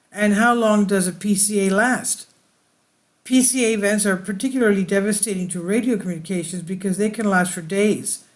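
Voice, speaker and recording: A host reading space weather news aloud in a halting way, stumbling over some words. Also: mid-range voice. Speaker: video channel host.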